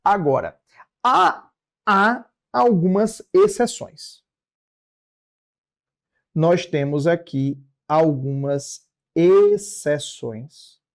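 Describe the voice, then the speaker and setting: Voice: mid-range voice, expressive, extremely slow, pauses often. A Brazilian law teacher giving an explanatory lecture, didactic, repeating and rephrasing key phrases with short check-in tags.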